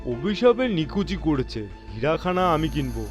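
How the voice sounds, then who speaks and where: A man's voice: a little high, big pitch swings, a little slow, soft background music. A man playing a brash, defiant sailor in an audio horror story, dismissive in manner. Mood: scornful defiance.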